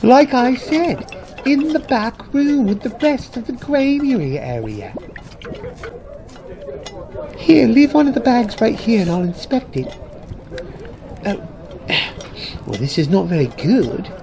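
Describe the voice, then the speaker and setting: A woman's voice: on the high side, big pitch swings, slow pace, long pauses, heavily acted. A woman voicing a weary, fussy, bossy granary worker in a fantasy radio drama, grumbling with resignation. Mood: disgusted grumbling. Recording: crowd chatter behind the voice.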